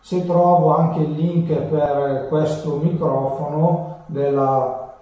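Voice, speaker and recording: Male presenter, 30s, mellow and halting, explaining things casually; very roomy, distant sound. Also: a little high, steady tone. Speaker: motovlogger presenting a tutorial. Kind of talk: casual unscripted tutorial talk. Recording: echoey room, distant camera mic.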